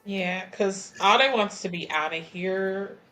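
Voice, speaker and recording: A woman's voice: medium pitch, slow, fairly bright tone, soft background music, low-quality recording. A woman playing a tabletop game, talking casually in a wry way with some swearing. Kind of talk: casual, animated game chat.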